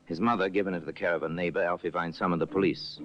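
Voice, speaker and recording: A man narrating a radio crime drama in a measured, grave manner. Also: sits low, steady tone, loud music behind the voice. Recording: muffled old radio broadcast.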